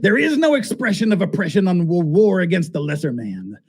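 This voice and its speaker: A man with a husky voice reading a text aloud dramatically, with amused asides as host. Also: high voice, wildly swooping pitch, brisk, a little mellow. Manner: amused, often breaking into laughter as he reads. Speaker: reader and commentator.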